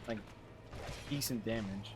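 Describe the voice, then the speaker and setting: A man's voice: lowish voice, a little slow, noisy background. A man with a Canadian accent giving casual live gameplay commentary, rambling and slangy as he thinks aloud. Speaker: game streamer.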